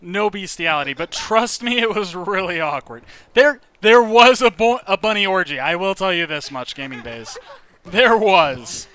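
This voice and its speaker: Bright high-voiced young man telling stories. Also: moderate pace. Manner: animated, acts out quoted lines. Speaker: YouTube host. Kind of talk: casual storytelling.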